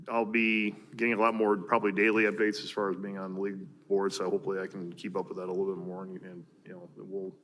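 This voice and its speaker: Low-voiced American man, noisy room. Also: a bit bright. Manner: halting, filler-heavy delivery. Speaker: mayor giving board updates at a council meeting. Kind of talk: informal meeting report. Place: large council chamber, indoors. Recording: clear background room noise from meeting chamber.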